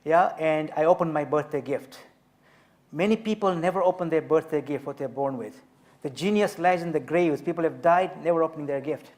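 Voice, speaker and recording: A man in his fifties, from Tanzania, telling his story as an author and speaker on a festival panel, measured and emphatic. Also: fairly high pitch, darkish tone. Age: 50s.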